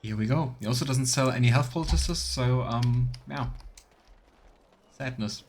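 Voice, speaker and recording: A man streams a video game in a slow, halting way, murmuring to himself and thinking aloud. His voice sits at a middling pitch, with keyboard clicks and noise behind it. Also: a little mellow. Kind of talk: casual let's play commentary.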